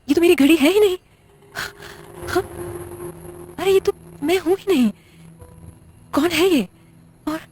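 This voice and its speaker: A woman playing a confused, uneasy young widow who talks haltingly in an audio horror drama. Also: sits high, darkish tone. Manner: hesitant, halting, muttering questions to herself.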